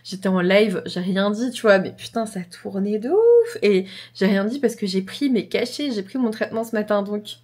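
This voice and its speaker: A woman's voice: medium pitch, very animated, moderate pace, darkish tone, slightly rough. A young woman with a slight cold, chatting casually and enthusiastically in her vlog, rambling and repeating herself. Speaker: book vlogger.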